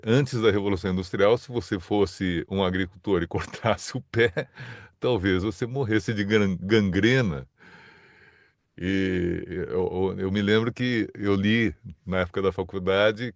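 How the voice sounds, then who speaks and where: Low-voiced guy from são paulo explaining stuff in an interview, dry close sound, kinda thoughtful. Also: no room sound. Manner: thoughtful and explanatory, with small restarts and laughs. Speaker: guest, communication scholar. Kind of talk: interview answers.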